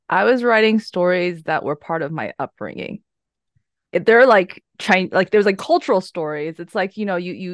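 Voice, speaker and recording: A woman with a low voice talks in a casual podcast roundtable. She hunts for words with restarts, then settles into a steady telling of a story. Her sound is dry and close, with no room echo. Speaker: podcast guest, horror writer.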